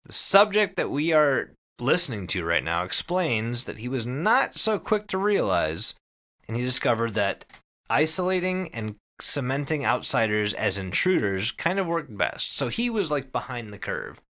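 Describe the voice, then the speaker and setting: A man's voice: mid-range voice, sing-song, normal speed, bright, forceful tone. A man hosting a podcast, summarizing a story casually with swearing, emphatic phrasing and wry asides. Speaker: podcast host summarizing an internet horror story.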